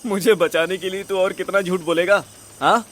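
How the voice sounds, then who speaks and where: Man acting high-voiced, brash, foolish young sidekick. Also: steady tone, normal speed, close to an everyday voice. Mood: amused. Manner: slangy, cheeky. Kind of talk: audio drama acting.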